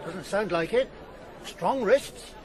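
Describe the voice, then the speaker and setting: A man acting a brisk, sceptical company salesman in a radio drama. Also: high voice, lively intonation. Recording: old radio broadcast, crowd behind.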